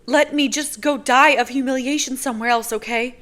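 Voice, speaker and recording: A woman voicing a flustered, defensive young woman in an audiobook, her lines pitched high and strained. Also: steady tone, a little fast, fairly bright tone.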